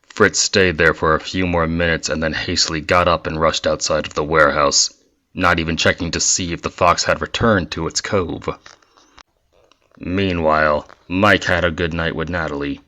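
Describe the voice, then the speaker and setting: A man's voice: rumbling low, steady tone, normal speed, sharp tone. A man reading scripted story narration for an audio drama.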